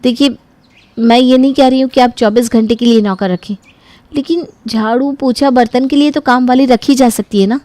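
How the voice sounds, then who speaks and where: Woman acting an aggrieved young wife, higher-pitched, close-up. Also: steady tone, moderate pace, dark tone, no room sound, natural voice. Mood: complaining. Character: young married daughter from a wealthy home, aggrieved and entitled. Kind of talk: animated Hindi moral story acting.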